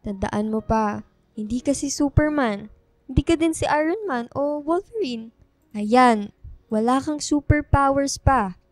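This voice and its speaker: Sweet caring filipino daughter, kinda high voice, radio drama w soft music. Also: female voice, a little slow, no echo. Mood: teasing. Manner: respectful, affectionate, teasing. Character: sweet, doting, teasing young daughter. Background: background music.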